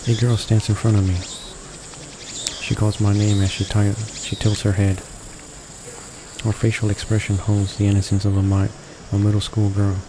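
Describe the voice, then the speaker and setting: A man hosting a game read-along, narrating the text aloud in a halting way and often correcting his own stumbles. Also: on the low side, monotone. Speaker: video game walkthrough host reading aloud. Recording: noisy home recording with constant background hiss.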